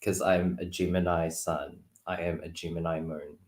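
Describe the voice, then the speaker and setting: A man in his thirties with a low voice talks casually, feeling his way through sentences with lots of little hedging words. His voice carries a clear room echo. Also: little pitch movement, moderate pace, a little mellow. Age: 30s. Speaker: guest card reader. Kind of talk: casual chat. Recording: roomy echo.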